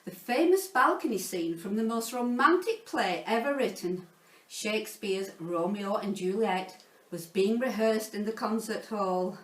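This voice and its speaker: A woman reading a children's story aloud in an expressive, playful, theatrical way, like a teacher narrating to pupils. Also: medium pitch, measured pace. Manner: theatrical, playful, dramatizing sound words. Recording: roomy echo, distant from the mic.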